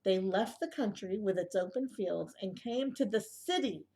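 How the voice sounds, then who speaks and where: A woman reading a picture book aloud to young children in an expressive way, with asides and questions to the listeners. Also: mid-range voice, normal speed.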